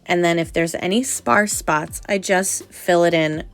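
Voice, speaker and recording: A woman's voice: lowish voice, steady tone, a little slow, soft background music. A young adult woman narrating a nail tutorial voice-over in a chatty, explanatory way, sounding slightly nasal from a cold.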